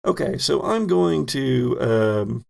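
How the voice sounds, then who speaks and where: Slow, creaky-voiced man narrating. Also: medium pitch, animated, darkish tone. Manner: vivid descriptive storytelling, addressing players directly. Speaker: game master. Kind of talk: narrating a tabletop horror game.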